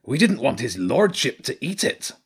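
A man's voice: mid-range voice, sing-song, normal speed, husky, pitched above the performer's own voice. A man voicing a shifty, sheepish, greedy sewer rat with a wheedling manner in a children's story. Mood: sheepish excuses.

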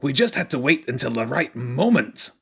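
A man's voice: medium pitch, sing-song, normal speed, creaky, husky, voice pushed up, exaggerated voice. A man voicing a shifty, sheepish, greedy sewer rat with a wheedling manner in a children's story. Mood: sheepish excuses.

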